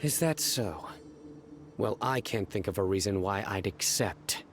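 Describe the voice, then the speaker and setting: A grim, deep-voiced enforcer. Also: male voice, noisy background. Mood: stern. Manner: terse, deadpan, measured. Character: grim young male desert investigator, stoic and dutiful. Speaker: stern law enforcer.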